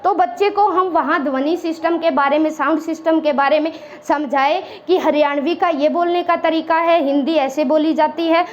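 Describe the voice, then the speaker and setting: A woman's voice: high-pitched, steady tone, moderate pace, few pauses, dull audio. A woman teacher giving an exam-prep lecture, mixing English words into Hindi and checking in with "ठीक है" again and again. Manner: explains in Hindi mixed with English words, repeats "ठीक है" often.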